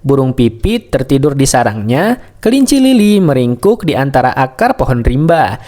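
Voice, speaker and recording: A man's voice: medium pitch, big pitch swings, normal speed, mellow. A man narrating a scripted bedtime story for children.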